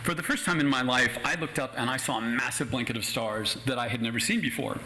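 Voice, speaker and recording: A middle-aged American man from Los Angeles, a native Spanish speaker, giving a prepared personal talk as a guest speaker in an articulate, measured way with wry asides. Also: medium pitch, pushed and bright, a little hiss or hum, rough recording. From Los Angeles County, United States.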